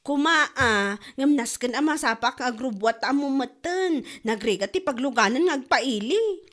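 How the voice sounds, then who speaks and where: A woman's voice: sits high, animated, normal speed, fairly bright tone. A woman with an Ilocano accent playing a chatty, feisty young waitress in a comedy audio drama. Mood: affectionate.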